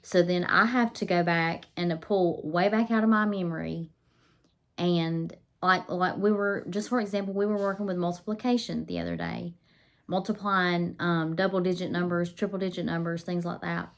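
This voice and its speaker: A woman's voice: on the low side, fairly even intonation, moderate pace, creaky. A woman vlogging about homeschooling, her voice a little scratchy and nasal from pollen, chatty with many ums and restarts. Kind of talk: casual to-camera talk.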